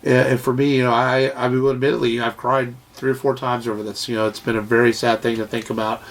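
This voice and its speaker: A man's voice: mid-range voice, flat delivery, fast talker, a little hiss or hum, rough recording. Stuffy-nosed guy from texas rambling on his podcast, lots of ums, cusses a bunch. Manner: rambling, salty, with many fillers and restarts. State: congested from a sinus infection. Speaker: podcast co-host. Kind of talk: casual chat.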